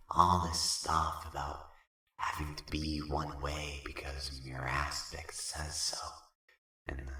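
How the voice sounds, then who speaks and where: Soothing, gently chiding friend, male actor, audio drama: deep, slow, casual delivery in an echoey room. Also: exaggerated voice. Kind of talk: fan fiction audio drama acting. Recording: echoey room, distant from mic.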